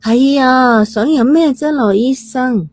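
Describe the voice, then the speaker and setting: High-pitched defiant young woman, acted by a woman. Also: slow pace, darkish tone, dry recording, clean studio sound. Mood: cheeky. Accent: Hong Kong Cantonese. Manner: cheeky, nonchalant, stubborn. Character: defiant, flippant young woman with a brain tumour.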